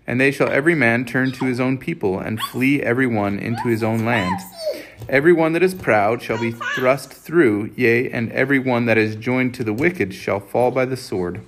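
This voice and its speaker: A man's voice: medium pitch, fairly bright tone, a little hiss or hum. Man reading scripture aloud. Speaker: reader leading a family scripture reading. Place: home recording with family.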